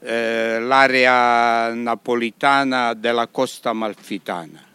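Slow Italian man with a smooth voice. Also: medium pitch, fairly even intonation, pushed and bright, no room sound. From Italy. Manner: halting, mixes Italian words into his Spanish. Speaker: restaurant owner. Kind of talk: radio interview.

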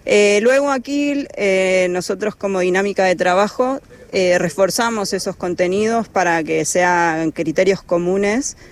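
A woman's voice: mid-range voice, measured pace. A woman from Argentina with an Argentine Spanish accent, a lifeguard coordinator answering interview questions hesitantly, with many fillers and restarts.

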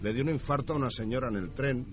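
A man chatting on a radio show, telling a story in a relaxed, talkative way. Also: medium pitch, fast talker, music in the background. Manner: chatty, tells anecdotes. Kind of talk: casual radio chat.

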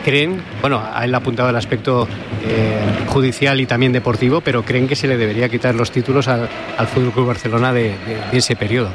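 A man's voice: mid-range voice, steady tone, fairly quick, background noise. A man with a Spanish accent from Spain giving an unscripted live radio sports report in hesitant, piecemeal phrases.

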